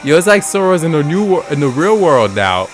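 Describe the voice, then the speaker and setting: A man's voice: fairly high pitch, big pitch swings, brisk. A man giving a casual, slangy, exclamatory live reaction as he streams game trailers. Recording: music behind the voice. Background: game trailer music.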